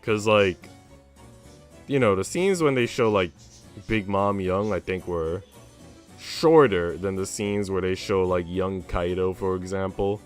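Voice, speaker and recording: A man's voice: sits low, a little slow, soft background music. A man chatting informally as a streamer, in a casual, conversational way. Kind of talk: casual livestream chat.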